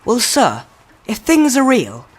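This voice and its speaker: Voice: medium pitch, wildly swooping pitch, slow, slightly rough, exaggerated voice. A performer playing an earnest, polite schoolboy who questions his elders respectfully in a radio drama. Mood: puzzled, sceptical.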